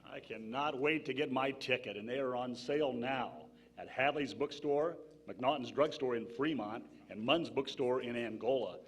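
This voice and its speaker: A man's voice: mid-range voice, moderate pace, slightly rough, lightly acted. A man playing an enthusiastic, folksy 1940s local radio announcer in a promotional style. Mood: upbeat promotional.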